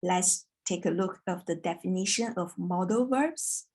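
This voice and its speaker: A woman from China who is not an English speaker, teaching a children's English class online in a slow, patient, clear way. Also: fairly low pitch, a little mellow. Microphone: video call.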